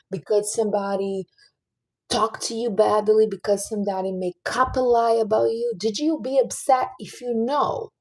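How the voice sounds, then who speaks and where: Woman, late 30s, livestream host, earnest advice-giver, relaxed tone with breathy texture. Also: medium pitch, poor audio. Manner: chatty and earnest, giving life advice to viewers. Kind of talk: casual livestream chat.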